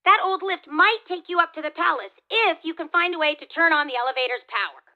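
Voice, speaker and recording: Bright, high-voiced wary heroine. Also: female voice, quick pace, muffled recording, exaggerated voice. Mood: wary, dry. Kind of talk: animated video game acting.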